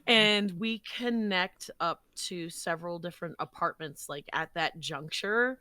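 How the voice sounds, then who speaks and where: Woman, voice actor guest, bright forceful tone, lowish pitch, dry close sound, conversational. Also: measured pace, smooth, dry recording. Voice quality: low voice for a woman. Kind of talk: casual interview chat. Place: walk-in closet used as a home recording booth. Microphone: close studio microphone.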